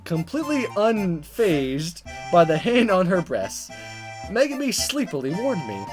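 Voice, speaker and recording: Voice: medium pitch, big pitch swings, measured pace. A gaming video narrator reading a visual novel aloud, stumbling over lines and chuckling along. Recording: music under the voice. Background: game music.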